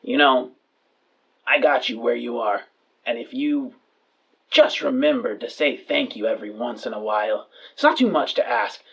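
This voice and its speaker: A man voicing an aggrieved, wary male informant, needling and halting, in a science fiction tabletop game. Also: mid-range voice, expressive, vocal fry, fairly bright tone, husky, dull audio, exaggerated voice. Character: gruff, aggrieved male informant calling a powerful client.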